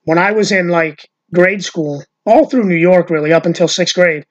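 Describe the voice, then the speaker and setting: A woman's voice: on the low side, moderate pace. A woman hosting a loose, unscripted podcast conversation with her sister. She rambles from story to story, leaning on "like" and dropping casual swears. The recording sounds a little dull and lo-fi. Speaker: podcast host. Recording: dull lo-fi audio.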